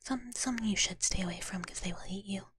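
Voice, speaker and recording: A woman whispering softly and breathily close to the microphone, chatting gently and cutely in an ASMR livestream style. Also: medium pitch, brisk, slight background noise. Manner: cutesy, gentle, refers to herself in third person. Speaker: livestreamer playing a dragon big-sister persona. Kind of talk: ASMR, whispered live chat with viewers.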